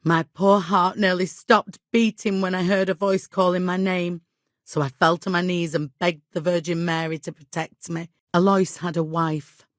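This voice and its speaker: An actress playing a middle-aged medieval village widow in a video game, devout and guilt-ridden, with an exasperated, imperious and plaintive manner. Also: female voice, fairly low pitch, steady tone, moderate pace, no room sound, studio quality, lightly acted. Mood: grave storytelling. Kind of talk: dramatic video game dialogue acting.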